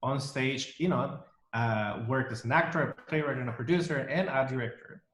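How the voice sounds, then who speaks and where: A man's voice: mid-range voice, normal speed, fairly bright tone. Man hosting from an echoey room. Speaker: interview host. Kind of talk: casual interview.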